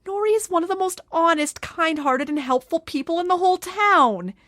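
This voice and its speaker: A woman's voice: very high voice, animated, normal speed, a bit bright, dry recording, studio quality. A woman playing a forthright, scornful townswoman who speaks with emphatic exasperation in an amateur radio play. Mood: exasperated. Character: forthright, scornful townswoman defending her side.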